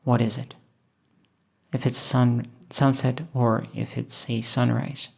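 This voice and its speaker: A woman from Russia, thoughtfully talking over her painting process in a hesitant, musing way with many pauses. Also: extremely low pitch, little pitch movement, gravelly, darkish tone, husky. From Moscow, Russia. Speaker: painter and channel host. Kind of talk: reflective voice-over while painting.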